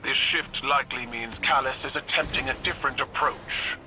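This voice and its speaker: An actor playing a proud, stern military commander in a game cutscene, speaking formally. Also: male voice, mid-range voice, moderate pace, over loud music, close to an everyday voice. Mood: stern briefing. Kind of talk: video game cutscene acting.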